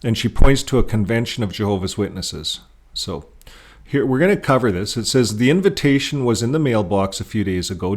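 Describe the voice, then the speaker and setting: A man with a lowish voice talks through what he is looking at in a casual, rambling way. He moves between reading aloud and commenting, thinking out loud as an online commentator. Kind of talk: casual commentary while reading from web pages.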